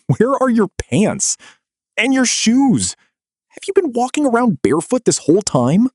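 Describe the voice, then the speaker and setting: A woman's voice: on the high side, very animated, measured pace, long pauses, darkish tone, husky, no echo, studio quality, pitched above the performer's own voice, full character voice. A woman voicing a tired, wary adult man who talks in an apologetic mumble. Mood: incredulous.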